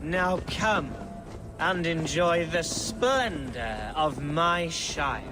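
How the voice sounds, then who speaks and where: A man's voice: fairly high pitch, extremely slow. An actor playing an earnest, tipsy young Saxon nobleman, eager and wordy in a dramatic video game style. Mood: tipsy, excited. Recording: music behind the voice.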